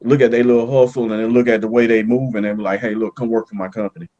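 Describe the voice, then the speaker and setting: A man's voice: medium pitch, steady tone, rapid-fire, warm tone, muffled recording. Laid-back man hosting a podcast. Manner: laid-back, affirming, frequent "you know what I'm saying". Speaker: podcast host. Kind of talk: casual podcast interview.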